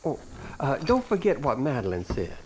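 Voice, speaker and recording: Swooping, excitable folksy ghost hunter's sidekick. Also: male voice, mid-range voice, normal speed, a little hiss or hum. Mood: courteous. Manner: folksy, eager, courteous. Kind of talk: audio drama character acting.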